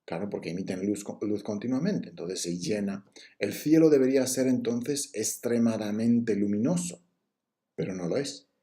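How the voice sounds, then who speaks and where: A man's voice: fairly low pitch, expressive, moderate pace, mellow. A man giving a recorded university lecture on logic, explaining step by step with frequent fillers and restarts.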